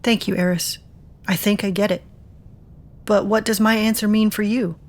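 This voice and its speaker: A woman's voice: medium pitch, fairly even intonation, moderate pace, darkish tone, natural voice. A woman playing an earnest, reflective woman searching for answers in an audio drama.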